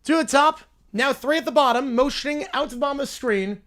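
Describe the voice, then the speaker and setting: A young man from Long Island hosts a football watch-along stream, calling each snap and then drifting into easy chat with his viewers. His voice sits fairly high for a man. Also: fast talker, sharp tone. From Long Island, New York. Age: 20s. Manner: chatty, answers viewer questions between plays. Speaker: livestream host and play-by-play commentator. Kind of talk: casual live play-by-play with viewer chat.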